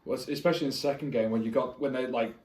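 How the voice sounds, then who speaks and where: A man's voice: mid-range voice, fairly even intonation, very fast, darkish tone. Man in casual podcast chat, roomy echo. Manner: sweary, informal. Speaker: podcast co-host. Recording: roomy, echoing room sound.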